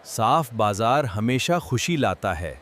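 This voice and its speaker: A man voicing a friendly, helpful vegetable in a children's cartoon, with an expressive, moralizing manner. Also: mid-range voice, a little hiss or hum. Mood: warm, earnest.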